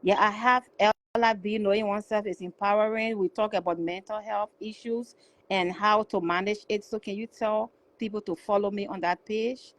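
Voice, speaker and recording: A woman's voice: medium pitch, fairly even intonation, normal speed, rough recording. A Liberian woman calling in to a show, a social worker, talking eagerly and repeating yes and yeah. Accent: Liberian English. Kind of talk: phone-in chat.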